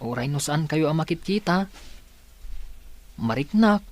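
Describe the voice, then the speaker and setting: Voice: mid-range voice, animated, a little slow, natural voice. A performer playing an earnest, insistent young student and devoted grandchild in a dramatic audio story. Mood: hurt, insistent.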